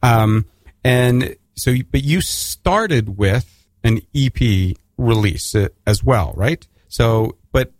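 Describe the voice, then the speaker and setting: Canadian male radio host, middle-pitched, dry close studio sound, rambling with restarts. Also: animated, slow, no echo. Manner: rambling, many restarts and fillers. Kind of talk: casual radio interview.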